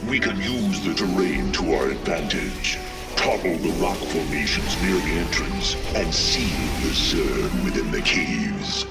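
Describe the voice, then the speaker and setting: Game role: ancient alien commander, very low, creaky and solemn, slightly distant under loud music. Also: male voice, expressive, unhurried, exaggerated voice. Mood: urgent, commanding. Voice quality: deep, gravelly. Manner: solemn, formal, archaic phrasing. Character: grave, ancient alien warrior elder, commanding and proud. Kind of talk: dramatic video game character acting.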